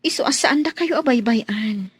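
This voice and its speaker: A protective, dutiful young maid of radio drama, played by a woman. Her voice is on the high side with a husky edge, earnest and soothing, recorded very dry. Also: normal speed, no room sound. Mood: reassuring.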